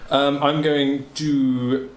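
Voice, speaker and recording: Male tabletop player, loose casual talk, average pitch, echoey room with slight noise. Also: little pitch movement, extremely slow. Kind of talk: casual game chat. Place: indoor room with other players.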